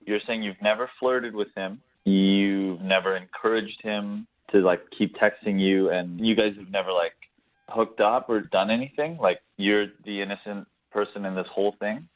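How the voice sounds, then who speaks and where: Tinny phone audio, male caller with a lowish voice, casual and questioning. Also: steady tone, normal speed. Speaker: phone-in guest on a radio dating segment. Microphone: phone call. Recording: thin, band-limited phone line.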